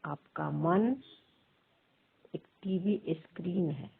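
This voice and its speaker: A woman leads a guided meditation as a teacher, pausing between short, measured phrases in a husky, creaky voice. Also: fairly low pitch. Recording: thin phone-like sound.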